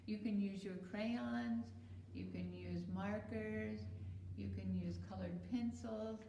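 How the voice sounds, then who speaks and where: A woman teacher talks to young children in slow, simple phrases. Her voice has noticeable creak, and the room is echoey with some hiss behind her. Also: medium pitch, steady tone, mellow. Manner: simple, deliberate phrasing with questions to young listeners. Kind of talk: picture-book read-aloud and talk to young children. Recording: roomy echo with noticeable hiss.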